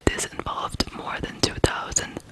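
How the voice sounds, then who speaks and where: An ASMR host from Ukraine telling a true crime story in a hushed, deliberate whisper.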